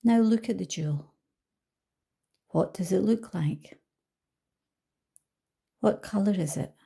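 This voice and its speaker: A woman leading a guided visualisation as a coach, speaking calmly and gently with soft trailing phrases. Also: mid-range voice, slow pace, vocal fry, breathy edge. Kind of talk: guided meditation narration.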